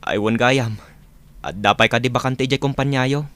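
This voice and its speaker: A man's voice: mid-range voice, moderate pace, fairly bright tone, lightly acted. A man playing a weary, grumbling father in a radio comedy drama, plaintive and speaking with an Ilocano accent. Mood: weary, hopeful.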